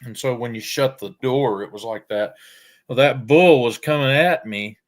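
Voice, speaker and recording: An older man, telling farm stories in a folksy, unhurried way with frequent pauses. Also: medium pitch. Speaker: storyteller guest. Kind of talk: casual storytelling.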